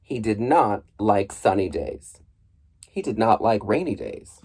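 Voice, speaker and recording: A man reading a children's book aloud in an expressive, playful storybook style, with a husky voice. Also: on the low side, unhurried. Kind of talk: animated storybook read-aloud.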